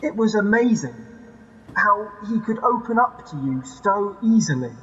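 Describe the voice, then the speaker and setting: A man's voice: sits high, lively intonation, moderate pace, fairly bright tone. An actor in an audio drama playing an insistent, teasing man who prods like a nagging inner voice. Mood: pressing. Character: needling male inner voice dredging up memories. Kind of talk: audio drama acting, poetic second-person narration. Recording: dull sound with long hall reverb.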